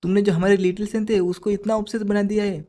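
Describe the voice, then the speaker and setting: A hoarse man voicing a proud, menacing woman who gives the orders, in a commanding, threatening tone. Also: high-pitched, flat delivery, quick pace, mellow, lightly acted.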